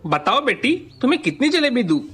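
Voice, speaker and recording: A performer voicing a greedy, bullying male sweet-shop keeper in a children's cartoon story, gruff and demanding. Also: mid-range voice, big pitch swings, fairly quick, fairly bright tone, soft background music. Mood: salesmanlike.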